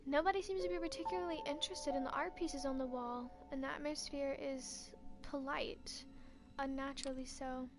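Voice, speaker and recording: A woman reads a story game's text aloud in second person, steady and plain, with light asides now and then. Her voice sits high. Loud game music plays behind her. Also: normal speed.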